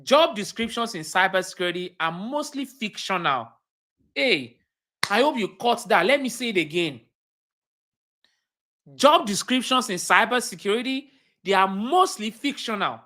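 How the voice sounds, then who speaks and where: A woman's voice: mid-range voice, lively intonation, normal speed, a bit bright. A woman with a Nigerian accent coaching viewers on a livestream. She talks emphatically, repeats her key points and puts questions to her audience. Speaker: cybersecurity career coach. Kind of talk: livestream teaching talk.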